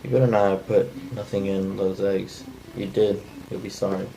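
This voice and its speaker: A man reading the part of a menacing, bullying man in a flat, unpolished script read, with a gravelly voice. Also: deep voice, normal speed, low-quality recording. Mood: demanding. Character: menacing, bullying man demanding car keys. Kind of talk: cold table read of a film script. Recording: faint background noise.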